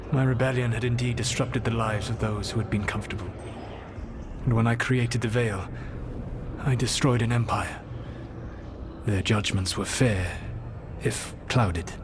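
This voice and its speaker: A man playing an ancient, proud and regretful elven rebel mage in video game dialogue, speaking in measured, formal, deliberate phrases. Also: mid-range voice, steady tone, lightly acted. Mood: grave, regretful. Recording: clear background noise under the voice.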